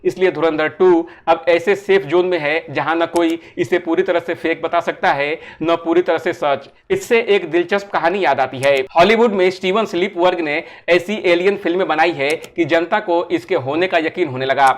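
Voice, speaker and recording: A man with a fairly high-pitched voice delivers scripted narration for a film-review video essay. He explains his points in an orderly way and uses rhetorical questions to move the argument along. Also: moderate pace, hardly any pauses.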